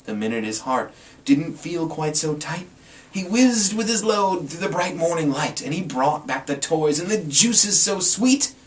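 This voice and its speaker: A man's voice: fairly high pitch, animated, a little slow. A man giving a playful, theatrical dramatic reading of a rhyming storybook as an amateur storyteller. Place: indoors, in front of a green screen. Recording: distant mic, faint room noise.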